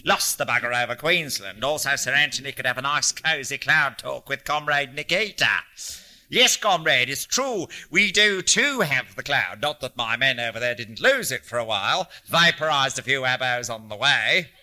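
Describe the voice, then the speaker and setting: A man's voice: mid-range voice, expressive, moderate pace, sharp tone, pitched above the performer's own voice. A man reading a story, voicing an abrasive, sardonic, ailing old man with theatrical delivery. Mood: mocking. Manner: theatrical, mocking rants.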